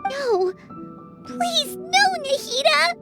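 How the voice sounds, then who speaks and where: A woman voicing a tiny, excitable, anxious fairy companion in a video game, with a squeaky childlike voice and emotional, exclamatory delivery. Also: drawn-out words, exaggerated voice. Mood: pleading, distressed. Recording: loud music behind the voice.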